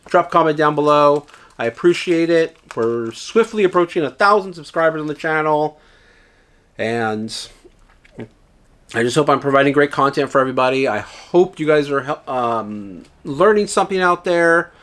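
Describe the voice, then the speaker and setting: A man's voice: a little high, lively intonation, moderate pace, fairly bright tone. A man talking casually to his viewers, a bit nasal and dry-throated from illness, rambling with lots of fillers and restarts. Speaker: reseller YouTuber. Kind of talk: casual vlog advice to viewers.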